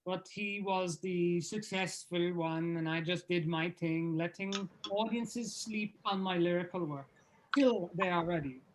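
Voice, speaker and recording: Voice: mid-range voice, measured pace, a little hiss or hum, natural voice, poor audio. An actor in an informal table read playing a bitter, sardonic male musician overshadowed by a brother. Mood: sullen.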